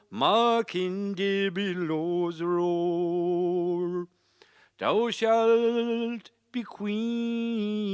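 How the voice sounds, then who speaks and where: A woman giving a solemn, drawn-out, mournful rendition of an old lyrical lament. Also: mid-range voice, darkish tone, clean tone, no room sound, studio quality.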